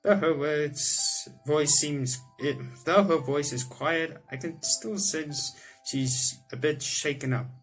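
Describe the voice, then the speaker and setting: A man's voice: fairly high pitch, slow, hardly any pauses, a bit bright, faint music behind, low-quality recording. Young man reading a game aloud. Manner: chatty, self-correcting, breaks into laughs and reactions. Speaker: gaming video host. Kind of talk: let's-play reading game text aloud with comments.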